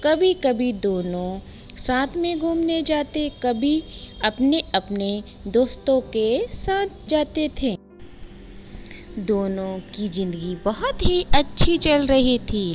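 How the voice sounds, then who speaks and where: A woman reads a simple moral tale as a storyteller. Her delivery is unhurried and broken into short, deliberate phrases, with an animated rise and fall in pitch. Soft music plays behind her. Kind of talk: scripted moral story narration.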